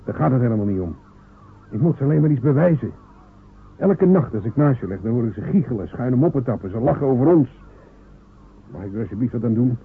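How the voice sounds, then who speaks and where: Male actor as a small, stubborn fisherman; earnest, defiant; husky tone, thin lo-fi radio sound. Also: medium pitch, animated, fast, long pauses. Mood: determined. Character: proud small fisherman John, stubborn, wants respect. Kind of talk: radio play acting. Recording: narrow phone-like old radio recording.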